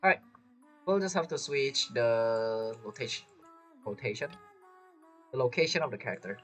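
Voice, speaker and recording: A man's voice: medium pitch, expressive, normal speed. A man giving casual, musing gameplay commentary as a streamer, thinking aloud. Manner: rambling, musing. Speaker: game streamer. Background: faint game music.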